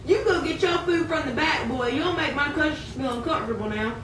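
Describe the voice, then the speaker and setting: A man puts on a hostile puppet-show restaurant owner. The voice is extremely high, shrill and scolding, recorded with echo and clear background noise. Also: a little fast, fairly bright tone. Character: hostile restaurant owner refusing service, bigoted. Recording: roomy, distant, noisy amateur recording.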